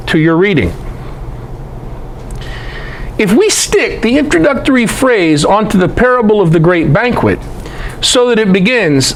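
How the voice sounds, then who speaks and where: A man's voice: high-pitched, slight background noise, poor audio. A man giving an informal, irreverent scripture lecture in an emphatic way, often putting rhetorical questions to his listeners. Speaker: Bible teacher, presenter.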